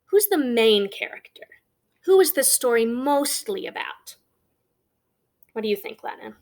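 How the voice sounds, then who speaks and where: A woman's voice: fairly high pitch, sing-song, measured pace, a little mellow. A woman guiding young children through a storybook, asking simple questions as a teacher would. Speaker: teacher leading a children's read-aloud.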